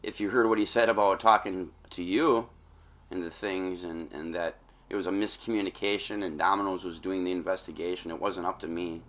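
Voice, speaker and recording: A man's voice: deep voice, fairly even intonation, fairly quick, a bit bright. An American man, a former store employee, talking insistently on a phone call in an aggrieved manner. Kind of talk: phone conversation. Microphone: telephone call.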